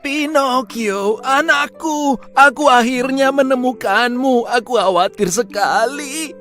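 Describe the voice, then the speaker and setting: Woman as kindly old father, slow delivery, tender, worried, cartoon storybook, faint music. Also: high-pitched, fairly bright tone. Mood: relieved, tender.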